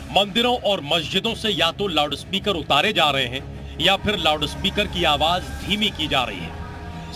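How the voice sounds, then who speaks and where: High-voiced man reading a news report over music.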